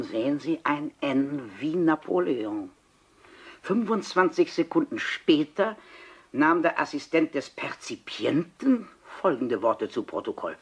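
Radio drama role: older male retired civil servant, husky, pedantic, eager to lecture in formal, exact phrases. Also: medium pitch. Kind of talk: German radio crime drama dialogue.

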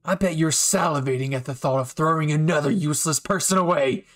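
A man's voice: on the high side, a little fast, lightly acted. A man playing an unhinged, bitter anime villain with a menacing, taunting manner, nursing an old betrayal in a dramatic fan roleplay. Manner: menacing, taunting, swinging from quiet to outbursts.

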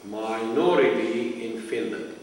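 An older man with a Lebanese accent, giving brief, measured answers in an interview. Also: medium pitch, darkish tone, distant mic. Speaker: interviewee in a video artwork. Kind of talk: slow, deliberate answers in an interview. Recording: echoey gallery playback heard from afar.

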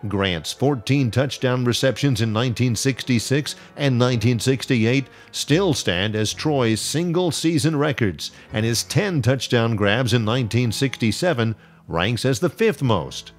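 A man giving scripted, measured voice-over narration full of statistics. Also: medium pitch.

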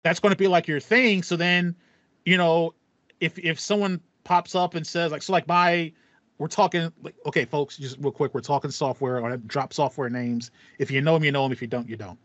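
A man's voice: a little high, fairly even intonation, moderate pace, a bit bright, no echo, lo-fi sound. A young man hosting a podcast, chatting casually and acting out his reactions as he tells stories. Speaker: podcast host. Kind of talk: casual chat. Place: small home room with foam panel, indoors.

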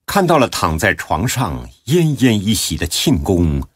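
A man narrating a scripted story as an audiobook narrator, in a deep, husky voice. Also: huge pitch swings, a little slow.

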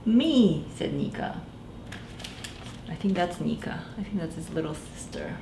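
A woman's voice: low voice, measured pace, creaky. A woman in her thirties, a librarian, reading a picture book aloud to children and doing the characters' voices. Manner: animated, voices characters, addresses children directly. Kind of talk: children's storytime read-aloud. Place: small library room indoors. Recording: echoey room with faint background noise.

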